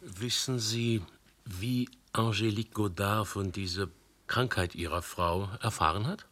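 An actor playing a calm, probing police commissioner in a radio play. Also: male voice, lowish voice, a little slow. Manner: probing, dry questioning.

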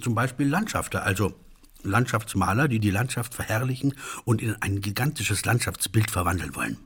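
An actor playing an earnest railway engineer and mediator in a radio play, with a husky voice and courteous, long-winded official phrasing. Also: male voice, on the low side, expressive, fairly quick, noisy background, lightly acted.

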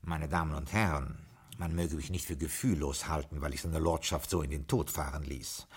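Dry, deferential butler. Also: male voice, very deep voice, fairly even intonation, normal speed, husky, exaggerated voice. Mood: wry narration. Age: 40s. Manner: formal, dryly ironic, deferential. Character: dry, loyal male butler in his late forties, formal. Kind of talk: 1950s radio drama acting.

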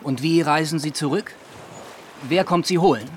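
An actor playing a courteous, self-assured Regency gentleman suitor in a radio play, with a polished, persuasive, formal manner. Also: fairly high pitch, moderate pace, a little hiss or hum, natural voice. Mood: solicitous. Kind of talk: period radio drama acting.

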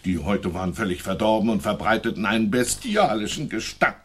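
An actor playing an indignant leather merchant in a radio play, emphatic and aggrieved, with a husky voice. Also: male voice, lowish voice, animated, fairly quick, lightly acted.

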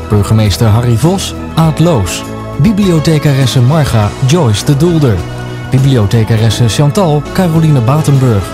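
A man reading out a scripted cast list as announcer for a radio play. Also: medium pitch. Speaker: credits announcer.

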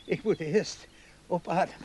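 An actor playing a mild older man in a radio play, out of breath and halting as he talks. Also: high-pitched, darkish tone, husky. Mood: breathless, halting. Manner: halting, repeats words between breaths. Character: winded, mild older man out walking uphill.

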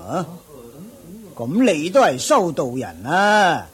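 A man's voice: fairly high pitch, huge pitch swings, takes it slowly, exaggerated voice. A man playing a complacent senior ascetic master in a radio drama, hemming and sighing in Cantonese with a Hong Kong accent. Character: senior ascetic master, dogmatic, complacent old Taoist-style teacher. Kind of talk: Buddhist radio drama acting.

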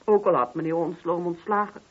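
A woman's voice: fairly low pitch, quick pace, slightly rough. A woman playing a talkative, grieving older mother in a radio drama, speaking colloquially and emphatically. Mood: indignant, confiding. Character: chatty grieving older mother of four sons, indignant. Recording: dull, muffled old radio drama recording.

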